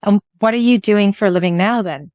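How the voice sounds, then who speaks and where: A woman's voice: medium pitch, brisk, a little mellow, no room sound, studio quality. A woman over fifty hosting a podcast interview, asking questions and giving brief encouraging replies. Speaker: podcast host. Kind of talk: interview conversation.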